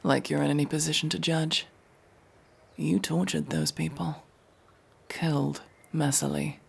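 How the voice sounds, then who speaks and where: A man playing a cold, sardonic masked elf mercenary in a fantasy audio roleplay, menacing and dryly sarcastic, with a gravelly, husky voice. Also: a little high, flat delivery, a little hiss or hum. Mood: cold, curt. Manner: slow, menacing, dry sarcasm.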